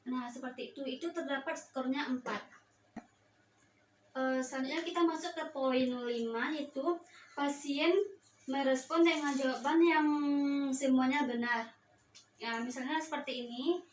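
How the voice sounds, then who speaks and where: A young woman with a high voice explains a procedure step by step. She sounds hesitant, pausing and restarting as she goes. The sound is echoey and far from the mic, with faint noise behind it. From Indonesia. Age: young adult. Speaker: nursing student giving a practical demonstration. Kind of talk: instructional demonstration, step by step.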